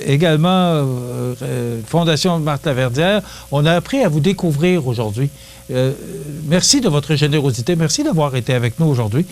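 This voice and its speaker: A man hosting a local TV interview, talking in a halting way with many restarts. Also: fairly high pitch. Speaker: TV host.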